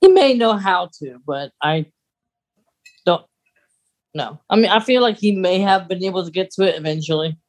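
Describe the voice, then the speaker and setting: A woman's voice: lowish voice, animated, moderate pace, long pauses, a little mellow. A woman co-hosting a podcast in casual, playful chat, chiming in with corrections. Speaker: podcast co-host.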